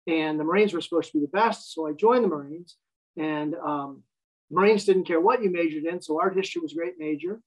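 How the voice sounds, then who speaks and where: A man's voice: on the high side, a little fast, rough recording. Wry American man in his fifties. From United States. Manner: wry, self-deprecating storyteller. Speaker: guest. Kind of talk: casual interview conversation.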